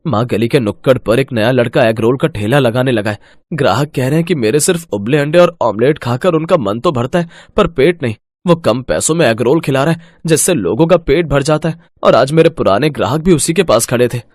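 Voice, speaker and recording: A man's voice: mid-range voice, a little fast, a little mellow, no echo, clean studio sound, natural voice. A man playing an earnest, plain-spoken young husband who sells food from a cart in a moral story. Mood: dejected.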